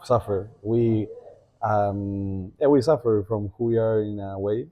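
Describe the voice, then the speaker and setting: A young man with an Argentine Spanish accent, Spanish as his first language, talking as a podcast guest in a thoughtful way, pausing to think and often restarting his sentences. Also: lowish voice, fairly even intonation, lo-fi sound. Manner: reflective, thinking aloud with many fillers and restarts. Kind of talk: casual podcast conversation.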